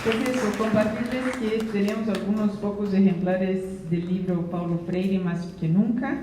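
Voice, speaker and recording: A woman's voice: mid-range voice, fairly even intonation, measured pace, crowd noise, noisy background. A woman moderating a university event, making hesitant announcements to the audience. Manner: hesitant, many fillers. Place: university lecture hall. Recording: distant echoey hall with audience.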